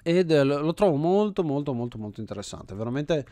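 A man's voice: a little high, animated, a little fast, mellow, dry recording. An Italian man hosting a game series with casual gameplay commentary, thinking aloud and often repeating himself. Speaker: host of an indie game video series.

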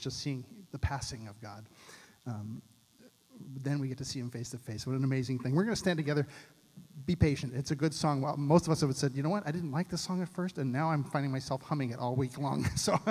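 A man introducing a song to a church congregation in a quick, chatty way with many ums. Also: mid-range voice, steady tone, big-room sound. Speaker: worship leader. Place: church stage, large indoor sanctuary. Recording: band music loud, voice distant.

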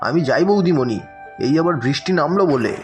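Sheepish village milkman husband, cartoon: animated pitch, appeasing manner, music underneath. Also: brisk. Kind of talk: Bengali cartoon voice acting. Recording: loud music bed over dialogue.

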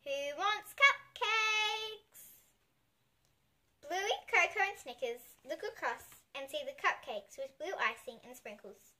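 A woman's voice: high voice, lively intonation, moderate pace, sharp tone. A young girl reading a picture book aloud expressively, with chatty asides to listeners. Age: child. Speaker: young host reading picture books for kids. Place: small indoor room with bookshelves.

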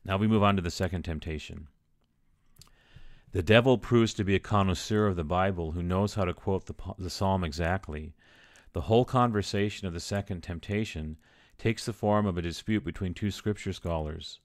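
A priest giving a teaching, reading passages aloud and then explaining them in plain, unscripted words. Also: male voice, low-pitched, normal speed, no echo, clean studio sound.

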